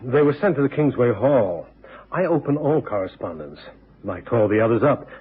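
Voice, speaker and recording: Anxious manager, polite, hesitant, slightly rough mid-range voice, old radio drama. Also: male voice, medium pitch, very animated, normal speed. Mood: worried, businesslike. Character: businesslike, anxious male concert manager. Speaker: supporting actor. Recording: tinny old radio recording.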